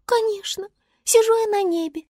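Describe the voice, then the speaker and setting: A woman's voice: extremely high pitch, normal speed, warm tone, dry recording, studio quality, pitched above the performer's own voice. A woman voicing a small, touchy, tearful fallen star in a children's story, theatrical and plaintive. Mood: indignant.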